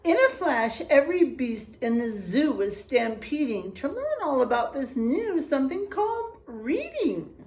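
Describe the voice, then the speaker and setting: A woman's voice: sits high, big pitch swings, unhurried, hardly any pauses, a little mellow, a little hiss or hum. A woman teacher reading a picture book aloud to children, expressively, stretching out rhymes and adding chatty, exclaiming asides.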